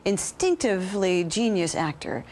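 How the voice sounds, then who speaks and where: A woman's voice: mid-range voice, very animated, measured pace, a little mellow, a little hiss or hum. An American woman anchoring live news and putting questions to a guest.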